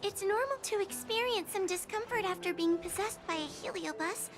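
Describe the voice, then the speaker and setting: Squeaky little healer girl. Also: moderate pace. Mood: reassuring. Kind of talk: video game voice acting. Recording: music behind the voice.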